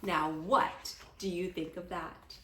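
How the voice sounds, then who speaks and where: A woman's voice: fairly low pitch, fairly even intonation, takes it slowly, bright, forceful tone, slight background noise, pitched below the performer's own voice. A woman voicing an old grandpa who tells tall adventure tales with dramatic emphasis in a children's storybook. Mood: dramatic storytelling. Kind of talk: children's picture book read-aloud. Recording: roomy, echoing sound.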